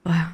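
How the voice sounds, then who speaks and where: A woman's voice: pushed and bright, a little hiss or hum. A woman streaming a game, giving informal, emotive reaction commentary into a close mic. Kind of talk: casual gameplay reaction commentary.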